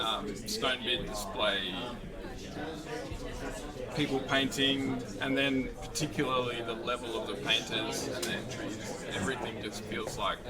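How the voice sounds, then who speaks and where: A man judging a competition, talking casually and enthusiastically with plenty of "like". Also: mid-range voice. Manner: enthusiastic, loose, lots of "like". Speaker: competition judge. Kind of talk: casual interview chat. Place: busy convention hall. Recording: distant mic, loud crowd behind.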